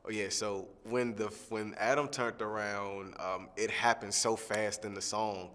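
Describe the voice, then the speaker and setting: A young man, a singing contestant, answering interview questions in a relaxed, rambling way with lots of fillers. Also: on the low side, measured pace, in a large hall. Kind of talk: press junket soundbite interview.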